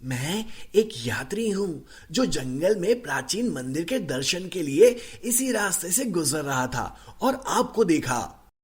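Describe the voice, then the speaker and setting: A man voicing a kindly immortal traveller who explains the past in an animated myth tale. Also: on the high side, slow pace, voice pushed up. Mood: calm explaining. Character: kindly immortal traveller recounting ancient war. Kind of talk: animated mythological storybook dialogue.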